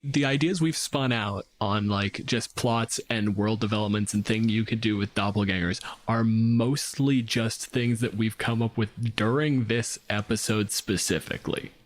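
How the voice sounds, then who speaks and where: A man's voice: lowish voice, fairly even intonation, unhurried, hardly any pauses. Casual, sweary man podcasting about gaming. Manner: sweary, analytical, informal. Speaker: podcast host. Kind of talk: casual podcast discussion of tabletop games.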